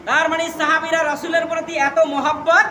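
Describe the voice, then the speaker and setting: A male Islamic preacher with a Bangladeshi Bengali accent speaks in an extremely high, piercing register. His delivery is declamatory and emotional, with phrases repeated for emphasis. The sound carries long reverb over some background noise. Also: flat delivery, moderate pace, pushed and bright. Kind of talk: impassioned religious sermon with storytelling.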